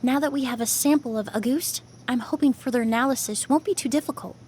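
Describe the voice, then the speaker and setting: A woman playing a composed, thoughtful young perfumer in a video game, speaking in a measured, precise, calm way. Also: sits high, slight background noise, close to an everyday voice. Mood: concerned.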